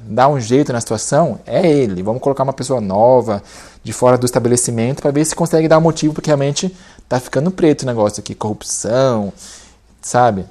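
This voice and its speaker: A man's voice: medium pitch, darkish tone. Brazilian man giving a chatty lecture. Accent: Brazilian Portuguese. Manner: conversational, many fillers and tag questions. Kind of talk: casual religious lecture.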